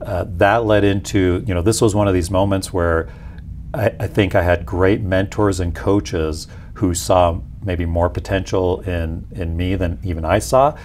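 A man's voice: low voice, steady tone, a little slow, darkish tone, a little hiss or hum. A man in his forties, a company executive explaining things conversationally in an interview. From Peru. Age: 40s. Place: small indoor office lounge. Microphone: lapel mic.

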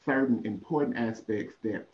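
A man with a somewhat low voice explains his program's approach over a video call, earnest and a bit hesitant. The audio sounds muffled and lo-fi, typical of a compressed call. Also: moderate pace. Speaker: panelist, prevention program worker. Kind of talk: casual panel answer.

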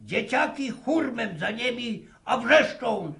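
A sly old country grandfather with a very gravelly, unhurried voice and rural Polish dialect. He teases and mocks in a folksy radio-play manner, repeating his words. The sound is roomy. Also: very high voice, lively intonation, pushed and bright, heavily acted. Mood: amused storytelling. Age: older. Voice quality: creaky. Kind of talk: radio drama acting.

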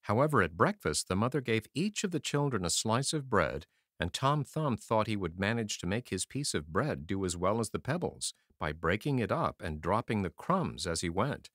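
A man narrating a fairy tale as an audiobook reader, in a measured, expressive storytelling manner. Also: on the low side, dry recording, studio quality.

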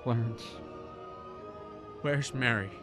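A man's voice: medium pitch, slow pace, over music, natural voice. An actor in a radio drama playing a distraught everyman husband and son, stammering and pleading urgently. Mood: urgent demanding.

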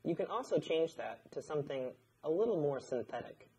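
A man, narrating a scripted tutorial in a measured, instructional way. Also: fairly high pitch, sing-song, warm tone, slightly rough, lo-fi sound. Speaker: audio engineer, instructor. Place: indoor studio, seated at a desk.

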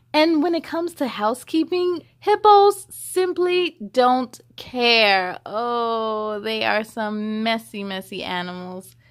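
Animated high-voiced young woman reading aloud. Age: young adult. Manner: animated, drawn-out emphasis on rhymes. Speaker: children's story time host. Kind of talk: expressive picture book read-aloud with chatty asides.

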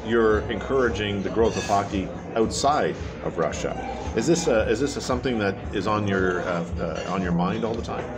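Deep-voiced male interviewer over music. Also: normal speed. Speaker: interviewer, magazine publisher. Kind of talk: conversational interviewing.

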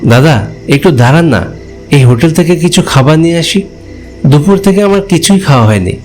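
A man playing a hungry, stranded village traveler, telling his ghost story in expressive, polite, conversational first-person narration and dialogue. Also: a little high, fairly quick, soft background music, close to an everyday voice. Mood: polite, insistent.

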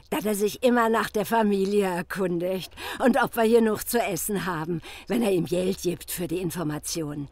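A woman's voice: medium pitch, a little mellow, no room sound, lightly acted. An actress playing a shrewd, bossy working-class wife and mother with a Berlin accent in a German audio drama, blunt and colloquial.

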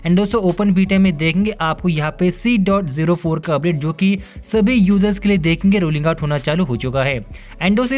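A man narrating a hands-on phone feature walkthrough in an upbeat way, mixing English words into his Hindi. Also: sits high. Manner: upbeat, repeats filler phrases, mixes English words into Hindi. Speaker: tech reviewer. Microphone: off-camera voice-over over hands-on phone footage.

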